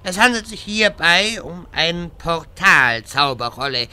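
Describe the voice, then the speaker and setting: A man's voice: a little high, lively intonation, a little slow, bright, forceful tone, big-room sound, a little hiss or hum, exaggerated voice. A man playing a pompous, long-winded old wizard in a parody audio drama, with a grandiose, rambling delivery. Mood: scheming.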